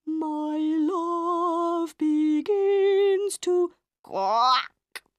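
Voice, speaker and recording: A woman's voice: fairly high pitch, sing-song, takes it slowly, dry recording, pitched above the performer's own voice. A woman playing a haughty opera diva. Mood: croaking song. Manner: raised, haughty and indignant.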